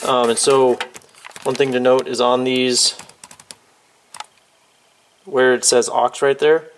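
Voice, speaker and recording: Male DIY presenter, mid-pitched, informal and offhand, walking through a hands-on demo with some background noise. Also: flat delivery, slow pace. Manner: informal, offhand, thinking aloud while handling gear. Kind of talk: casual hands-on how-to demonstration. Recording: clear background noise, clicks of handling.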